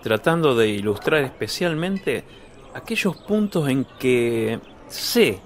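An eager, self-assured young disciple played by a man in a radio play. His pitch moves animatedly up and down around a middle register, and his manner is vivacious and insistent. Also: expressive, takes it slowly. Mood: eager, assertive. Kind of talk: radio drama acting.

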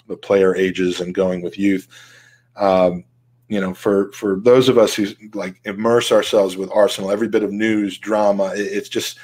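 A man's voice: lowish voice, darkish tone. A middle-aged man hosting a podcast over a video call, asking long, casual, hesitant questions. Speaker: podcast host. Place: indoor room on a video call.

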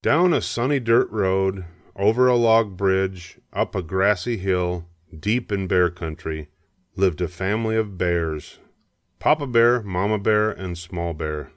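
An older man narrating a children's storybook, reading aloud in a measured, expressive way. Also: on the low side, no room sound, studio quality.

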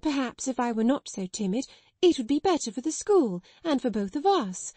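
A woman's voice: very animated, moderate pace, dark tone, no echo. A woman voicing a timid middle-aged spinster schoolmistress who breaks into tearful, hysterical accusations. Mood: timid, pleading. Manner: tearful, pleading, shrill outbursts.

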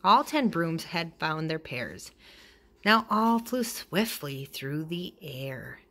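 A woman reading a children's picture book aloud in an expressive way, acting out the dialogue. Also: sits low, slow. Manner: expressive, acts out character dialogue. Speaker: storybook reader.

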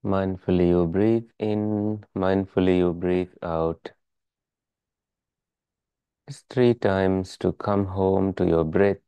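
A meditation teacher leading a guided relaxation in calm, measured, soothing instructions. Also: deep voice, clean tone, no room sound, muffled recording.

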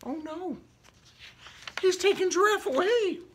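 Man, children's story reader, slow pace, bright tone, theatrical, casual asides. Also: extremely high pitch, animated, a little hiss or hum. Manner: theatrical, asks the young listeners questions. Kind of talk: animated picture-book read-aloud with casual asides. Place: indoor room with book cut-outs.

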